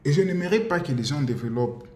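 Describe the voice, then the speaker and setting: A man's voice: a little high, expressive, fast talker, warm tone, slight background noise. A man from the DR Congo, giving an impassioned monologue, insistent and repetitive, often stumbling over words. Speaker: commentator. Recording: roomy echo with faint ambience.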